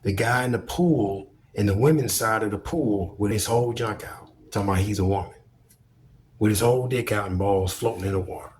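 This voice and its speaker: A man's voice: on the low side, brisk, a little mellow, a little hiss or hum. A man giving casual opinion commentary as a vlogger, speaking bluntly and emphatically with plenty of swearing.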